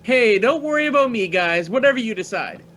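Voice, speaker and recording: An actor playing an eager, carefree young student pilot in a naturalistic radio play, boyish, slangy and enthusiastic. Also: male voice, very high-pitched, animated, fairly quick, fairly bright tone, slight background noise. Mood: chatty, upbeat. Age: young adult.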